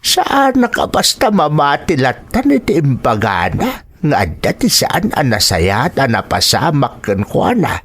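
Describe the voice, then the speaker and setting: An actor with an Ilocano accent playing a worried, insistent elderly husband and father in a radio drama, with a husky voice. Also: medium pitch, huge pitch swings, a little slow, a bit bright, full character voice.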